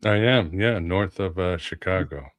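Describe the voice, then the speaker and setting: A man from Portugal and Mexico, a guest talking in a relaxed, reflective way in a casual interview. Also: low voice, measured pace, dry recording. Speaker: podcast host and guest.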